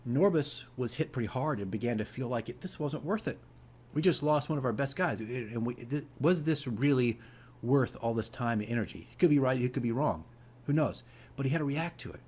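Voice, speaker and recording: A man's voice: mid-range voice, animated, fairly quick, darkish tone, husky. A man hosting a casual video diary as a game master, explaining things conversationally and emphatically, often checking in with the listener.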